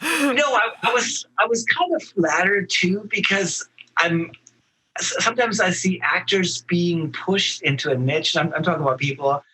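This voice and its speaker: A man speaking as an actor guest in a casual interview, halting and earnest, often repeating words as he thinks. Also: sits high, expressive, pushed and bright, slight background noise, poor audio.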